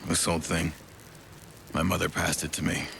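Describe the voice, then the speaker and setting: A jaded, bitter survivor in a video game, halting and foul-mouthed. The voice is low and flat, thick with heavy vocal fry. A clear ambient rumble sits behind it. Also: male voice, full character voice. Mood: guarded, wry. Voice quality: very gravelly, fried. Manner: cynical, profane, halting. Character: bitter, world-weary male outrider's son, debt-ridden survivor. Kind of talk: video game cutscene acting.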